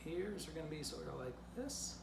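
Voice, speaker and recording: A man's voice: fairly high pitch, expressive, normal speed. Man talking to kids in echoey room. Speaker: children's author and presenter. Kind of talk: children's story reading and casual drawing lesson. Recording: roomy echo, clear background noise.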